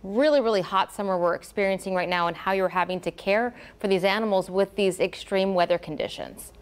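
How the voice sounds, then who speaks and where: A woman's voice: medium pitch, brisk, clear, resonant tone. A woman news anchor in a TV studio, asking a remote guest questions in an encouraging way.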